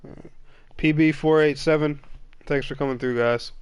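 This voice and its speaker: A man's voice: fairly high pitch, steady tone, normal speed, slight background noise. A man with a cold and a stuffed-up voice, hosting a casual gaming stream and rambling to viewers. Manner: rambling, chatty, apologetic. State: congested with a cold. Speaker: stream host. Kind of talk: casual gaming podcast chat.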